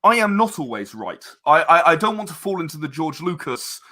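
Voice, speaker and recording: A man being interviewed in a casual chat, speaking earnestly and often haltingly, repeating words as he gathers his thoughts. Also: on the high side, big pitch swings, pushed and bright. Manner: earnest about creative work. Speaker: interview guest, game and audio drama creator.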